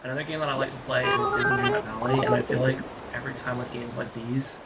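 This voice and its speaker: A streamer with a raspy voice, feeling unwell, chatting casually while playing a game. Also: mid-range voice, quick pace, poor audio. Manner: chatty, upbeat, rambling asides with squealy excited spikes. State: unwell, under the weather. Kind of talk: casual gameplay livestream commentary. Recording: loud game music behind.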